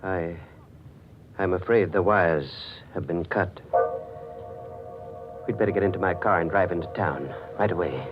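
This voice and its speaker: An actor playing a concerned, take-charge doctor in a 1940s radio thriller, firm and urgent. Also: male voice, deep voice, a little slow, pauses often, music in the background. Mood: urgent, alarmed. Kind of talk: 1940s radio suspense acting. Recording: dull, hissy 1940s radio recording.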